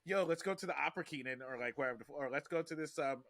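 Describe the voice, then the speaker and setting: Bright-voiced man, rambling casual talk. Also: fairly high pitch, fast. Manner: rambling, repeats himself to get his point out. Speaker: podcast host. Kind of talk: casual chat.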